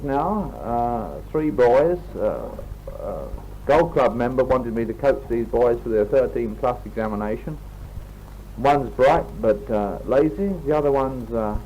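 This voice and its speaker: Mellow Englishman on noisy old tape. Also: medium pitch. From England. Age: 40s. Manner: chatty, old-fashioned British turns of phrase. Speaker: teacher. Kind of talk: casual spoken letter on tape. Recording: noisy 1960s home reel-to-reel tape.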